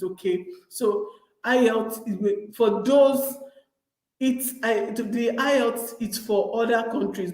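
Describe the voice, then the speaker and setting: A woman's voice: mid-range voice, a little mellow, roomy sound, low-quality recording. A young Nigerian woman with a Nigerian accent hosting a casual advice vlog, chatty and talking straight to her viewers, often repeating and restarting her words. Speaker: vlogger.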